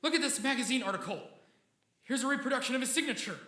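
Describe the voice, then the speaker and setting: An actor playing an obsessed, excitable and defensive scientist in a theatrical, agitated live radio drama. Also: sits high, fast. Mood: feverish excitement. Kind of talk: live 1940s-style radio drama acting. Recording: roomy live stage sound, faint music behind.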